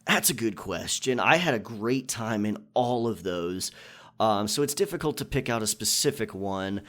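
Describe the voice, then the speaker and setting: A man's voice: lowish voice, animated, moderate pace, a bit bright. A man hosting a casual question and answer session for his listeners in a conversational, chatty manner. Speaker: audio roleplay creator and channel host.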